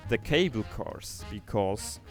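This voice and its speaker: A man's voice: lowish voice, lively intonation, slow pace, faint music behind. A young man from Switzerland with a Swiss German accent, casually commenting on his city-building game during a livestream, hesitant, with lots of fillers and restarts while reading chat aloud.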